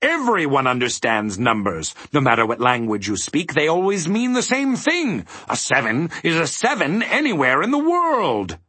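A man's voice: fairly low pitch, animated, measured pace, husky, no room sound, full character voice. A man voicing a bombastic, stubborn mathematician from a storybook, declaiming with great emphasis. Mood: thundering, defiant. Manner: emphatic, declamatory, swinging from bellows to ominous hush. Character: bombastic, stubborn mathematician ruler, thundering and ominous.

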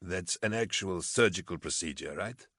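Man acting a deep, measured army captain. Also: expressive, no room sound, clean studio sound. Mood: dry, puzzled. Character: level-headed, low-key male army captain. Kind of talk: audiobook character acting.

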